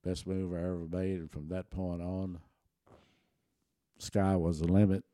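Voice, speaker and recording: A man's voice: low voice, little pitch movement, a little slow, mellow, no echo, studio quality. An older man whose first language is German, telling stories as a podcast guest in a folksy, rambling way with many restarts and chuckles. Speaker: interview guest. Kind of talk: relaxed storytelling.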